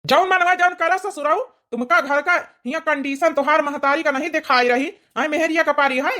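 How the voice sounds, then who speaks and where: A woman's voice: high voice, fairly quick, pushed and bright. A woman voicing a grumpy elderly village father-in-law in a cartoon comedy, rambling and repeating in an Awadhi accent. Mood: angry scolding. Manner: rambling, repetitive scolding.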